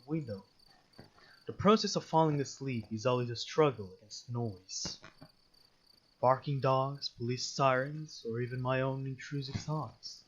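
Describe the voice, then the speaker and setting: A man's voice: mid-range voice, a bit bright, muffled recording. A man doing a let's play of a visual novel, reading the text aloud and breaking off with jokey, sweary reactions. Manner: sweary, jokey exclamations between read-aloud passages. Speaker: gaming video host.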